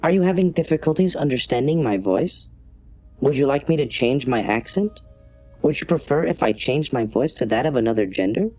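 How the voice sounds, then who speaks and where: A man's voice: mid-range voice, lively intonation, a little mellow, no echo, soft background music, lightly acted. Polite, detached AI acted by a man, very dry. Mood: detached, explanatory. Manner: measured, formal, emotionless. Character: polite, detached trauma-assistance artificial intelligence serving its company. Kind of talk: audio drama acting, formal.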